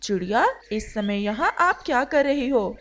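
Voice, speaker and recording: A woman voicing a kindly, patient, clever pigeon in a cartoon, gentle and reassuring. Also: a little high, animated, a little slow. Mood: concerned. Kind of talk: Hindi cartoon voice acting.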